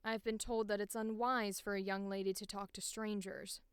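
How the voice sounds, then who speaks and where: A woman voicing a polite but curt teenage farm girl in measured, enunciated audition lines for a game. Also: mid-range voice, little pitch movement, smooth, dry recording, natural voice. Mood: curt, guarded. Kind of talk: video game audition line reading.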